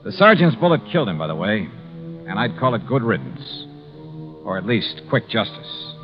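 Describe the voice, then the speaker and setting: An American actor playing a tough, shrewd insurance investigator in a 1950s radio drama, with clipped, hard-boiled delivery. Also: male voice, fairly low pitch, big pitch swings, normal speed. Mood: wry, grim. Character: tough, shrewd male insurance investigator narrating his case. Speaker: lead actor, narrator. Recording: muffled 1950s radio recording with music.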